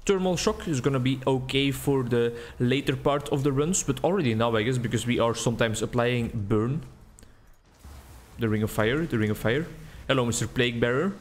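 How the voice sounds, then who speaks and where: A young man with a Belgian accent giving relaxed, rambling commentary while playing a game, recorded at home on a desk mic. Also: medium pitch, normal speed. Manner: rambling, relaxed, thinking aloud. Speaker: gaming video creator. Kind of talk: casual gameplay commentary. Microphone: desk mic with webcam.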